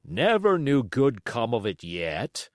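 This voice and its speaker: A man voicing a stern, grudging sea captain for an audiobook, blunt, sharp and emphatic. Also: medium pitch, very animated, takes it slowly, no room sound, clean studio sound. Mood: disapproving. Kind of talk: audiobook character voice.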